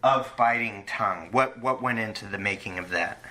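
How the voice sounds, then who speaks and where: A man hosting a casual podcast interview, haltingly feeling his way into each question. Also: fairly low pitch, bright, forceful tone, a little hiss or hum.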